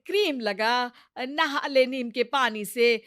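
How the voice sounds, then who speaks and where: A woman playing a hot-tempered, stubborn older village mother-in-law in a cartoon, scolding loudly. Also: on the high side, takes it slowly, no room sound, clean studio sound. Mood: bossy, exasperated. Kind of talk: cartoon family comedy acting.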